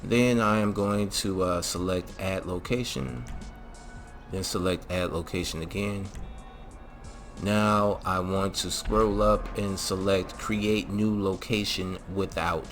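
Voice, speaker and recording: Very deep gravelly man explaining a mod. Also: fairly even intonation, slow, soft background music. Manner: casual, many fillers, repeats "all right". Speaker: gaming channel host. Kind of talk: mod tutorial voice-over.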